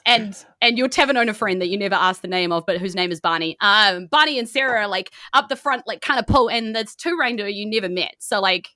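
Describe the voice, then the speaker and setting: A woman with a New Zealand accent narrating a tabletop game as game master, improvising in a casual, animated way. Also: mid-range voice, fast, few pauses, a little hiss or hum.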